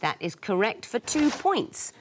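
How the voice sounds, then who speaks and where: A woman's voice: medium pitch, sing-song, unhurried, slight background noise. A woman hosting a television quiz show, reading clues and teasing contestants with dry wit. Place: television studio.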